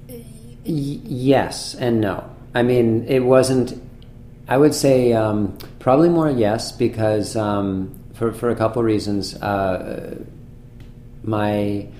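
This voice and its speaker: Male chef guest, low mellow voice, halting reflective delivery, roomy room sound. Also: a little hiss or hum. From Massachusetts, USA. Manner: thoughtful, many pauses and restarts. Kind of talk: casual conversational interview. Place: restaurant.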